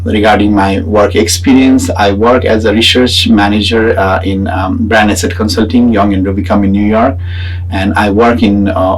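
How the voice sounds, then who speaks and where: A man from Nepal with a Nepali accent gives an explanatory academic talk. His voice is fairly low and husky. He speaks haltingly, stopping to find his words as he lays out his points. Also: rough recording. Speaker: PhD student and researcher. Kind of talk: semi-prepared explanatory talk about own research.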